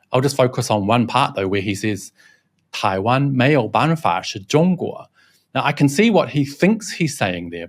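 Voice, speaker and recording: A young man hosting a scripted news commentary, mocking and scornful in tone. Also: medium pitch. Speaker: host, reporter. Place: Shanghai.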